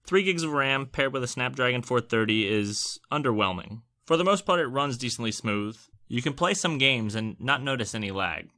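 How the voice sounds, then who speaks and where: A man's voice: mid-range voice, lively intonation, moderate pace, few pauses, sharp tone. A man hosting a scripted product review, narrating with wry, joking asides. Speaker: tech reviewer and host.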